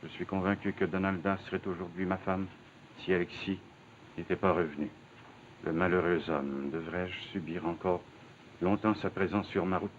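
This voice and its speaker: A man plays a solemn bourgeois father in a 1950s television drama. His voice is deep and his diction is grave and theatrical. The old soundtrack is dull, with a faint hiss. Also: fairly even intonation, normal speed, lightly acted. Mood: mournful reading.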